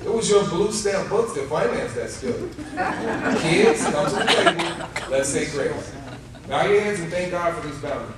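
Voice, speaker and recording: A folksy, doting family father played by a man in an old-time radio play. His voice is fairly high and animated, heard from a distance in a very echoey hall with music. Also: moderate pace, background noise, natural voice. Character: cheerful doting folksy 1950s salesman father. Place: theater stage before live audience. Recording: echoey live stage, distant mic, loud music.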